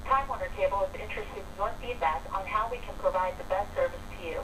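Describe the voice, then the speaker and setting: A woman's voice: mid-range voice, quick pace. A woman reading a scripted automated announcement for a phone system, heard over a telephone line. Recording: noisy distant telephone line with hum.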